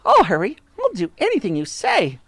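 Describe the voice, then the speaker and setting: A man's voice: very high voice, huge pitch swings, moderate pace, gravelly, a bit bright, husky, no echo, voice pushed up, full character voice. A man voicing a frightened, gullible old wife in a storybook, wailing and gasping in panic. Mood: frantic, pleading.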